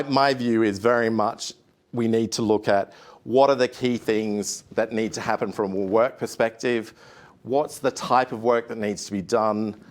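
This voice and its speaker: An Australian man speaking at a conference panel, measured, with many "um"s and restarts. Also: on the low side. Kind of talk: conference talk.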